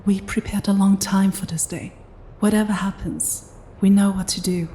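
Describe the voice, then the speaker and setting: A woman's voice: mid-range voice, steady tone, normal speed, warm tone, a little hiss or hum, natural voice. A woman playing a witty, self-doubting human intermediary in an audio drama, with a conversational, hesitant manner. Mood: composed.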